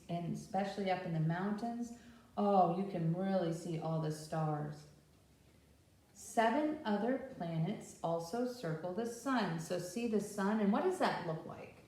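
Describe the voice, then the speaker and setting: A woman's voice: mid-range voice, dark tone. A woman presenting a children's storytime, teaching in simple explanations and asking the children frequent questions. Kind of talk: interactive children's read-aloud and teaching talk. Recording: very echoey room, distant microphone.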